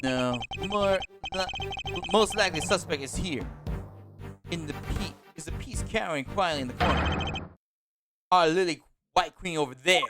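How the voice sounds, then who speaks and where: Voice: medium pitch, measured pace, over loud music, natural voice. A streamer doing a smug, boastful male bodyguard. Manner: boastful, sneering, speaks in chess terms. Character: arrogant scheming male bodyguard. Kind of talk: fan dub of a story game on stream.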